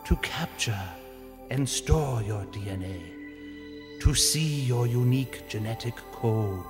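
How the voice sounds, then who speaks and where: Man as a slow, echoing commercial announcer. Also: medium pitch, lightly acted. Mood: solemn. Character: solemn male futuristic commercial announcer. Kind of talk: scripted advertisement narration. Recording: long echoing reverb under music.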